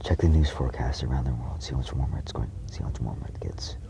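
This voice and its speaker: A man giving a slow, earnest monologue, solemn and halting, repeating his phrases in a breathy, gravelly voice. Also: rumbling low, whispered.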